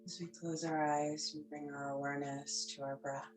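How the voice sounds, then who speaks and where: A woman yoga instructor guiding a yoga nidra session, speaking slowly and softly in short, calm phrases. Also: low voice, soft background music. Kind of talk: guided yoga nidra meditation.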